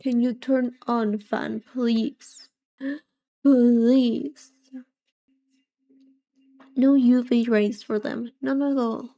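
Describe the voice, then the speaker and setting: Young British woman, soft-voiced streamer, slightly high, unhurried, languid casual chat. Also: fairly even intonation, highs cut off. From Britain.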